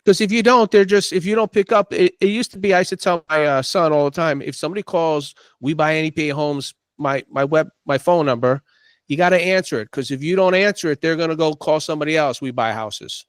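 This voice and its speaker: Middle-aged male guest, slightly high voice, dry close sound, animated storyteller. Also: fast, clear, resonant tone, dry recording. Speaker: podcast guest, real estate investor. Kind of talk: casual conversation.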